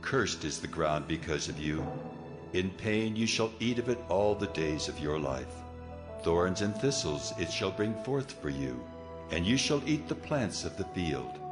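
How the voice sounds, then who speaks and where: An actor playing a stern, commanding God in a dramatized audio Bible, solemn and authoritative. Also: male voice, low-pitched, lively intonation, a little slow, over music. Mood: solemn judgment. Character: stern, commanding Lord God passing judgment.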